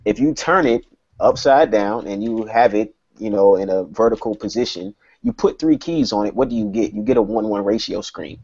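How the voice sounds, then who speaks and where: A man with a dark, husky voice discusses tech casually as a podcast panelist. He explains things emphatically, working through examples. The recording is dull and lo-fi. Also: fairly low pitch, lively intonation, normal speed.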